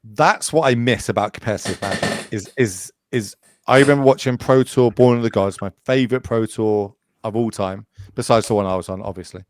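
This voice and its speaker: A man's voice: on the low side, fairly quick, no echo. A man in his late thirties, a podcast guest chatting casually and going off on tangents, with lots of fillers and restarts. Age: 30s. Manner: rambling, goes off on tangents, many fillers and restarts. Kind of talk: casual chat.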